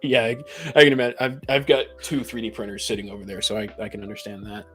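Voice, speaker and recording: A man's voice: lowish voice, flat delivery, fast, a bit bright, faint music behind. A man co-hosting a casual group podcast chat, explaining and giving advice with dry teasing. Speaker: podcast co-host.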